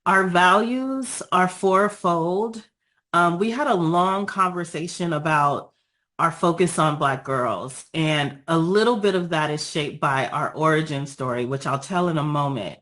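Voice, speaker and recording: A woman with a raspy voice after being ill, giving an earnest, measured presentation over a video call with frequent pauses and ums. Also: low-pitched, a bit bright. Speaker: guest speaker, nonprofit founder.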